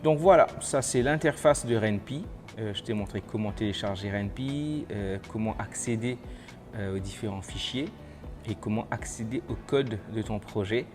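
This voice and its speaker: A man presenting a software tutorial in an informal, step-by-step, explanatory way. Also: lowish voice, moderate pace, soft background music. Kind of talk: casual software tutorial, screen walkthrough.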